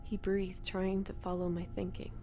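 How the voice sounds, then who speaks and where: A woman reading a first-person horror story in a dramatic, emotive, breathy delivery. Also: medium pitch, monotone, moderate pace, warm tone, soft background music.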